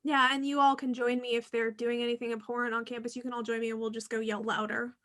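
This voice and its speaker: A woman hosting a casual panel conversation, talking in an animated, emphatic way. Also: fairly high pitch, quick pace. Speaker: podcast host.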